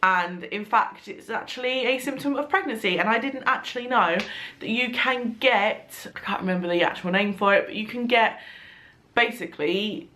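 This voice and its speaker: A woman's voice: on the high side, normal speed, pushed and bright. A young woman with a blocked, nasal voice from congestion, chatting casually to her camera. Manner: chatty, rambling, laughs now and then. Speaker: vlogger. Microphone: compact camera.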